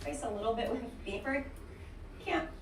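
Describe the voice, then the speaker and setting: Californian woman accent teacher, high lively voice, heard through a roomy distant playback. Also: normal speed, a little hiss or hum. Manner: explanatory, animated. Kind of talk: instructional video. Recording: played back in a roomy space, distant.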